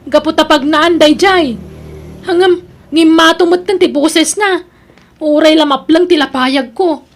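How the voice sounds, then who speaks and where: Quarrelsome young sister-in-law, female actor, Filipino accent: very high pitch, spiteful, accusing, bossy radio drama. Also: unhurried. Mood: spiteful, taunting. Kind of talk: radio-style audio drama acting.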